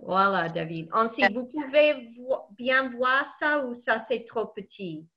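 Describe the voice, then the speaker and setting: Slow, patient woman teacher on a dull call. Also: on the high side, animated. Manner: slow, patient instructions with repeated words. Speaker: French teacher. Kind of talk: online language class instruction. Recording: dull lo-fi video call audio.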